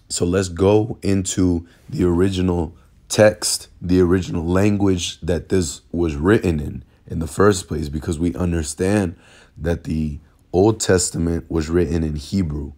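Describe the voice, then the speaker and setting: A man who is hoarse from a cold, with a raspy voice, teaching an informal bible lesson in a halting way, repeating words and using many fillers. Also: deep voice, darkish tone. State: hoarse from illness. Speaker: religious teacher. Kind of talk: informal bible lesson over screen recording. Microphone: phone screen recording.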